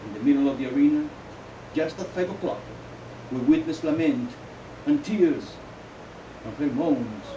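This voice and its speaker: A man, a poet at a live reading, speaking in a deliberate, declamatory way with halting phrases. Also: on the high side, warm tone. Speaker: poet reading own poems. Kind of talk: live poetry reading with informal asides. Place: indoor live poetry event, recorded from off. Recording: roomy, distant, dull, noisy live recording.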